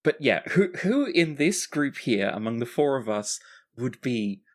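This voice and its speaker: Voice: mid-range voice, sing-song, measured pace. A tabletop role-play player narrating a character's actions in a casual, improvised way.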